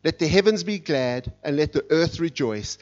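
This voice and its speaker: A middle-aged man preaching from a church stage, his voice sitting a little high for a man. He talks with a fired-up energy and leans hard into the lines he reads. The sound is dull and muffled, with little sparkle. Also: moderate pace. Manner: energetic, fired up, reads scripture with rising intensity. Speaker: guest preacher. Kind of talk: sermon. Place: church sanctuary.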